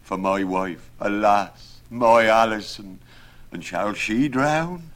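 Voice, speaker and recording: Storybook role: gullible working carpenter, acted by a male narrator, fretful and oath-swearing, voice rough-edged and swinging widely. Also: medium pitch, big pitch swings, measured pace, pitched above the performer's own voice, heavily acted. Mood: grieving, pleading. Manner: exclamatory, oath-swearing, loud. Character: pious, credulous working-class carpenter, alarmed and fretful. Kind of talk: dramatised verse storytelling.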